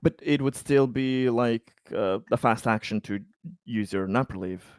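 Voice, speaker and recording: A man's voice: mid-range voice, a little slow, darkish tone, clear, resonant tone, no echo, clean studio sound. A man chatting casually as a player in a tabletop game, swearing freely.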